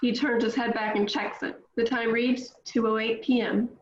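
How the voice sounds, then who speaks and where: A woman's voice: on the high side, flat delivery, moderate pace, slight background noise. A woman reading stage directions aloud at a script table read. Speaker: script reader. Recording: echoey room, dull lo-fi sound.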